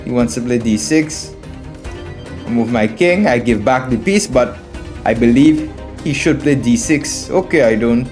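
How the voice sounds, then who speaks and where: A man from Trinidad with a Trinidadian accent streams live game commentary at a middling pitch. He thinks his moves through out loud, often repeating a phrase, and talks to viewers as friends. Faint music plays behind him. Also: lively intonation, unhurried. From Trinidad and Tobago. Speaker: chess streamer and instructor. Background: background music.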